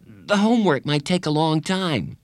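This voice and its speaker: A man's voice: on the high side, lively intonation, normal speed, no room sound, clean studio sound, pitched above the performer's own voice. Reluctant young schoolboy, read by a man: slightly lifted voice, polite, hesitant, storybook dialogue. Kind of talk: children's audiobook character voice.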